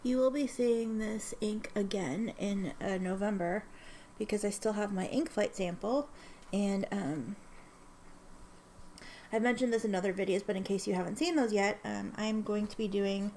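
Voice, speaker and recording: A woman's voice: medium pitch, steady tone, normal speed, dark tone, slight background noise. A woman chatting casually in a vlog as she swatches inks, sniffly from allergies, rambling with lots of ums and self-corrections. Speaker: ink swatching vlogger.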